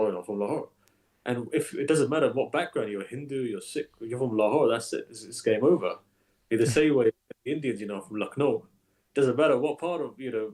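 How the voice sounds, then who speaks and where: Emphatic, animated male imam guest. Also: mid-range voice. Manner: animated, emphatic, repeats phrases. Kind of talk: conversational interview.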